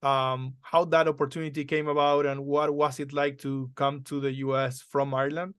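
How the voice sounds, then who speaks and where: A man's voice: medium pitch, little pitch movement, moderate pace, fairly bright tone, clear, resonant tone, no room sound, studio quality. A man hosting a podcast interview, asking questions. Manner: hesitant, fillers, careful phrasing. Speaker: podcast host.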